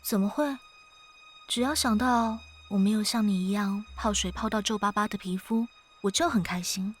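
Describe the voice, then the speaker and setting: A woman playing an ageless, gentle and wistful ferrywoman of souls in an audio drama, speaking in a reflective, poetic way with a quiet teasing edge. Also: mid-range voice, normal speed, breathy edge, close to an everyday voice. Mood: calm, teasing. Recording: studio voice over background music.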